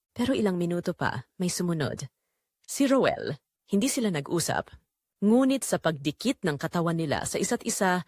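Female narrator for emotional audio drama, animated mid-pitched delivery, very dry sound. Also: no room sound. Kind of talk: dramatic scripted story narration.

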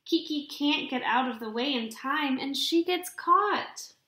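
A young adult woman reading a children's picture book aloud in an expressive, deliberate storybook delivery, recorded in a room at home. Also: high voice. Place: small indoor room at home. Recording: roomy, echoing home webcam recording.

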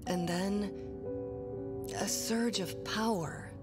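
An actress playing a haunted, bitter, resolute woman in a horror game trailer, brooding and deliberate. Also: mid-range voice, steady tone. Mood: wistful. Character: haunted, bitter, resolute wife hidden in a house. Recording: loud trailer music over the voice.

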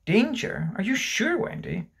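A man voicing a gentle, anxious wife and mother who pleads in hushed tones, in a dramatised storybook reading. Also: sits high, very animated, unhurried, gravelly, breathy edge, voice pushed up, full character voice. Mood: alarmed. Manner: entreating, hushed.

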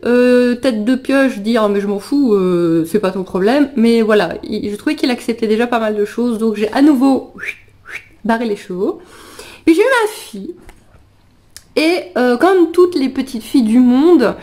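High, mellow-voiced woman with sniffles. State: slightly ill with sniffles. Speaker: animal vlogger. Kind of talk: casual personal storytelling to camera. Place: living room at home, indoors.

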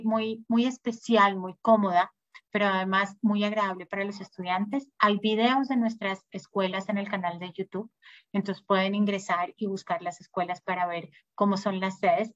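A woman's voice: mid-range voice, a bit bright, dry recording, muffled recording. A woman presenting study abroad programs in an upbeat, informative sales talk. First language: Spanish. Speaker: school sales manager presenting. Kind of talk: webinar presentation.

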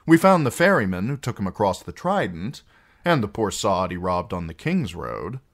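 A man's voice: lowish voice, very animated, moderate pace. A man voicing a wry outlaw singer in an audiobook, teasing and falsely kind, with a lilting delivery. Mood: coolly probing. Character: wry, mocking outlaw singer, smoothly cruel adult man. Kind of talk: audiobook character acting.

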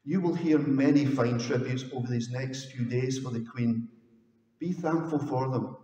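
An older man, a minister from Scotland, preaching a slow, solemn sermon with measured pauses into a headset mic in a large stone church. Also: medium pitch, mellow, distant mic. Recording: echoey church hall from afar.

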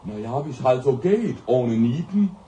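An actor playing a flustered, excitable government minister in a satirical radio play. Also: male voice, medium pitch, a little slow, roomy sound, recorded from a distance, slight background noise, lightly acted, low-quality recording. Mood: sheepish.

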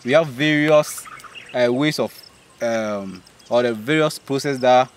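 A man's voice: medium pitch, a little slow, a little hiss or hum. A man in his thirties with a Ghanaian accent, a farmer patiently explaining crop stages outdoors in a field. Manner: patient, explanatory, checks understanding. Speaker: maize farmer. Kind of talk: explaining farming in an interview. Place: open farm field outdoors. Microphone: host's handheld phone.

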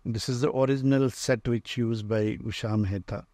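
A man with an Indian accent giving an unscripted museum tour in halting, short phrases, pausing often. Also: mid-range voice, steady tone, warm tone, no room sound. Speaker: museum owner and radio restorer giving a tour. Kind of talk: unscripted walk-through tour commentary. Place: indoor room lined with shelves of old radios.

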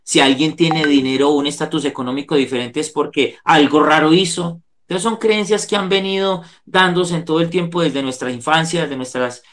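Earnest Colombian man, slightly high voice. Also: normal speed, hardly any pauses, a bit bright. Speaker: psychologist giving a talk. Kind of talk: devotional talk with prayer. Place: indoor room, on a video call. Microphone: video call microphone.

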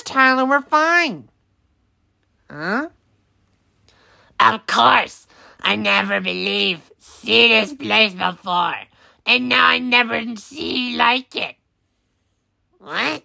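Woman acting a bright, chirpy cartoon character. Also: fairly high pitch, creaky, exaggerated voice. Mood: eager. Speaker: amateur voice actor. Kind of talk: performed character voice lines.

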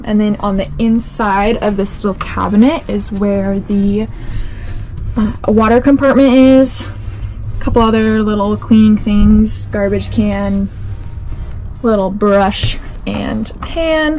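A woman's voice: mid-range voice, takes it slowly, long pauses, darkish tone, faint music behind, poor audio. A young woman giving an upbeat, giggly tour inside a camper van, talking to a handheld camera. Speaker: vlogger. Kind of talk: casual van tour vlog. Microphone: handheld camera, close then walking around.

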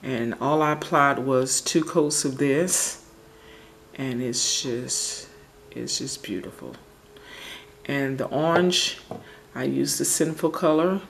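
A woman casually talking through a home nail tutorial, relaxed and chatty, often searching for words. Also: a bass voice, slow, creaky, a little hiss or hum. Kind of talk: casual how-to narration. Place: home table, indoors.

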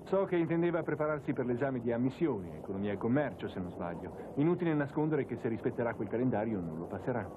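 A voice actor playing an earnest, excitable young man in a cartoon dub, speaking expressively. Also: mid-range voice, fast talker, few pauses, background noise, close to an everyday voice. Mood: earnest concern. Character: earnest, excitable young male judo follower. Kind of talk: cartoon dubbing. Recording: narrow phone-like dub with music behind.

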